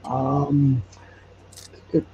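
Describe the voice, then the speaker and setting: A man explaining things in casual interview talk, as a podcast guest, in a halting way. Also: medium pitch, completely flat delivery, vocal fry. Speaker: podcast guest, local storyteller. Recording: muffled, with faint background noise.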